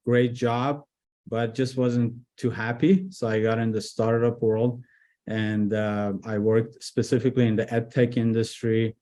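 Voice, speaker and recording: A man originally from Iran, speaking as a business guest in a casual interview, relaxed with frequent fillers and restarts. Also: on the low side. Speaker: guest, IT executive director.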